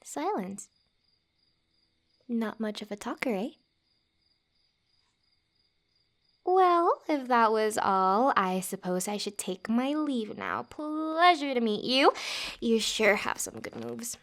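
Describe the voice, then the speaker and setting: A woman acting a playful, guarded young forager in a fantasy ASMR roleplay, with a teasing, halting delivery. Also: on the high side, very animated, a bit bright, full character voice. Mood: coy, dismissive. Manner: teasing, halting, breathy little laughs.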